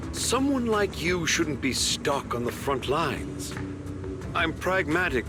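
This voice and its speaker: A man voicing a condescending, pompous major general in a video game, commanding and slyly sarcastic. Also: medium pitch. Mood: patronizing, self-satisfied. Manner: pompous, deliberate, veiled sarcasm. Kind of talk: scripted video game voice acting.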